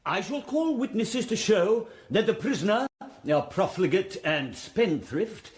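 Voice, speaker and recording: An actor playing a formidable old-school prosecuting barrister in a radio drama, with an English accent and deliberate, righteous oratory. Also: male voice, sits high, expressive. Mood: indignant, declamatory. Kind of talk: courtroom speech in radio drama.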